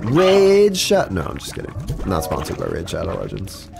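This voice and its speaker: A man's voice: low voice, wildly swooping pitch, normal speed, vocal fry, over music. A young man chatting casually with his live chat while playing a game, stuffed up with a cold, rambling with frequent restarts. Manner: rambling, talks to chat, self-interrupting restarts. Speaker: streamer. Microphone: studio mic with compressor.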